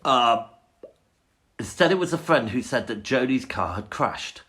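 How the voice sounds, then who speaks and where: A man talking casually as a podcast co-host, his pitch swinging in a lively, animated way. He laughs easily and argues his points with playful enthusiasm. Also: a little slow, a little hiss or hum. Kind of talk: casual chat reviewing a song.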